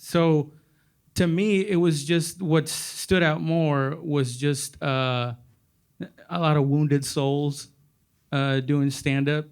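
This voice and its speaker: A man with a Mexican accent, a comedian on a stage panel, talking casually in a rambling, halting, self-mocking way. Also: a little high. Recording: stage panel with audience laughter.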